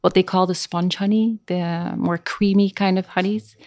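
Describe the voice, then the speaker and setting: A woman's voice: lowish voice, fairly even intonation, moderate pace, darkish tone, no room sound, studio quality. Dutch-accented middle-aged woman, hesitant. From Netherlands. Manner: hesitant, searching for words with many fillers. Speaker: guest. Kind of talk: casual interview chat.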